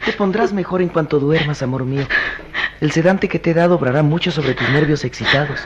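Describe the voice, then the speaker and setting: Actor as an earnest, high-voiced young gentleman doctor. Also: a little fast, muffled recording, natural voice. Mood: tender, soothing. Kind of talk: Latin American radio drama acting.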